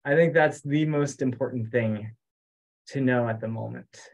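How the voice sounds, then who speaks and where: A man talking casually as an organizer on an online group call, halting and repeating himself as he goes. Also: mid-range voice, vocal fry. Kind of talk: casual meeting chat. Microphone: online conference call.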